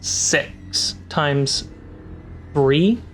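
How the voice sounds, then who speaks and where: A game master narrating a tabletop fantasy game in a measured, dramatic storyteller's way. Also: mid-range voice, animated. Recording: music behind the voice. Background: background music score.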